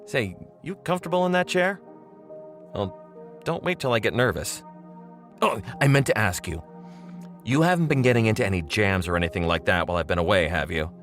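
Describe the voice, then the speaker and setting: An actor playing a chummy old pal with veiled menace in an audio drama monologue, talking straight to the listener in a rambling, needling, familiar way. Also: male voice, lowish voice, animated, fairly quick, long pauses, fairly bright tone, soft background music. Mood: chummy, insinuating. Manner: conversational, rambling, chuckling, pointedly familiar.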